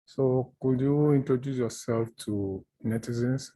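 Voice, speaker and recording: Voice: mid-range voice, a little slow, dark tone. An interviewer asking short, plain questions over a video call.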